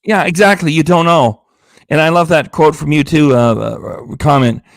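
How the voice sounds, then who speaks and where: A man's voice: a little high, moderate pace, no room sound, studio quality. Tired, indignant man ranting sarcastically. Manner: sarcastic, indignant, swears often. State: tired, losing his voice. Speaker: reaction channel host. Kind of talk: ranting livestream commentary.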